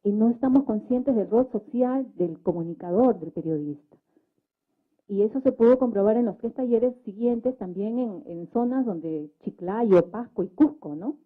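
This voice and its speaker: A woman's voice: medium pitch, expressive, normal speed. A woman with a Peruvian accent speaking at a public debate, explaining methodically and often adding tag questions. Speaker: government social communicator, panel speaker. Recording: thin phone-like sound.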